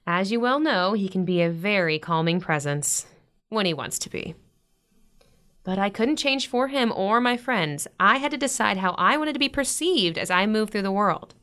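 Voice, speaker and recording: A woman playing a warm, gently teasing middle-aged mother in a naturalistic audio drama, giving earnest advice. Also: mid-range voice, expressive, moderate pace, close to an everyday voice. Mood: earnest, reassuring.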